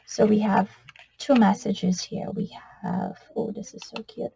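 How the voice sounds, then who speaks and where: A middle-aged Trinidadian woman reads oracle cards in an earnest, soft-spoken way, often falling to a whisper. Her voice is husky and gravelly, with a flat, steady pitch. The recording sounds dull and low-fi. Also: measured pace. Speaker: oracle card reader. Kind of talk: spiritual card reading video.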